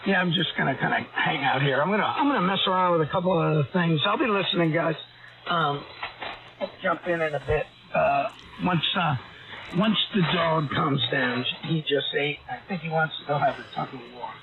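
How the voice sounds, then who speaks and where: A man's voice: fairly high pitch, a little fast. A man chatting casually as an amateur radio operator over a ham radio transmission. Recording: narrow phone-like radio audio, crowd-like babble behind.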